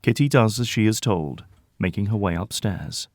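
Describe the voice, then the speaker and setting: A man's voice: lowish voice, lively intonation, moderate pace, no echo. A man delivering dramatic scripted narration as the narrator of an audio drama.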